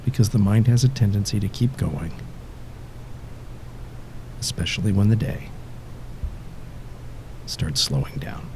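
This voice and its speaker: A man narrating a guided sleep hypnosis session in a soothing, measured way, pausing between short phrases and letting endings drop softly toward a whisper. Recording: steady background noise, low rumble and ticking. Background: ticking clock-like rhythm.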